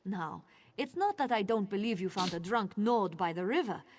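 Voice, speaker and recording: An actress playing an easygoing but dismissive town guard in a fantasy video game, brisk and dryly sarcastic. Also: female voice, medium pitch, lively intonation, a little hiss or hum, close to an everyday voice. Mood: dismissive, wry.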